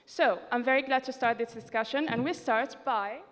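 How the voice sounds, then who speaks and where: A woman opening a conference session, introducing the speakers in a measured way. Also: on the high side. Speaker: session opener. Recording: long reverb of a conference hall.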